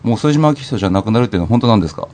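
A man's voice: lowish voice, animated, racing, a little mellow. A man hosting a casual comedic radio chat, teasing and mock-scolding his co-hosts. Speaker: radio show host.

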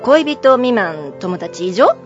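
A woman's voice: medium pitch, big pitch swings, slow pace. A woman guest on a radio show in casual, playful chat, agreeable and attentive. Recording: muffled audio with music.

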